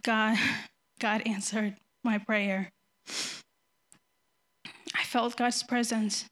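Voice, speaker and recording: A nervous young woman from Ethiopia speaks in a slightly high voice, halting and restarting as she gives her faith testimony. Her delivery is slow and unhurried. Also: flat delivery, a bit bright. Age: young adult. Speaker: baptism candidate giving testimony.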